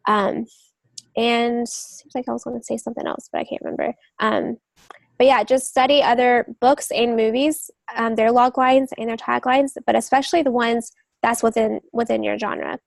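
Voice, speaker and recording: Woman on a podcast giving tips, kinda high voice, lots of vocal fry, informal, dry sound. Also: steady tone, moderate pace, no room sound. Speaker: literary agent and novelist giving advice. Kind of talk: casual podcast chat.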